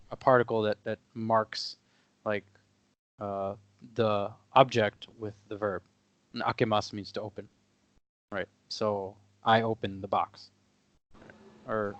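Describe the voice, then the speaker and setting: Low-voiced young man explaining casually. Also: muffled recording. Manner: explains step by step with many fillers. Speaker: podcast co-host. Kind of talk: casual conversation.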